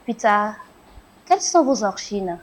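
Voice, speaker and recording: A woman's voice: mid-range voice, very animated, measured pace. A woman hosting a talk show, asking questions with frequent hesitant fillers. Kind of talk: interview questions.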